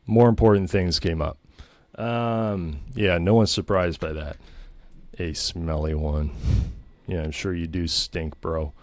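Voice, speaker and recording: A pompous, self-satisfied man holds forth in a very deep voice, rambling and restarting as he brags. The recording is close and dry, with no room sound. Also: steady tone, slow, a little mellow, dry recording. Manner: smug, pompous and self-aggrandizing; meandering, full of ums and restarts. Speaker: comedy channel host. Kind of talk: rambling comedic monologue.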